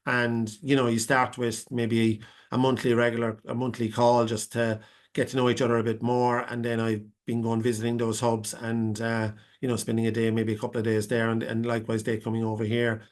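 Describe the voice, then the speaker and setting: An Irish man speaks over a video call, his pitch staying flat and level. He answers at length and wanders through his point with frequent restarts and fillers. It sounds like a live panel webinar. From Ireland. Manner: rambling, many restarts and fillers. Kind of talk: unscripted answer to a question.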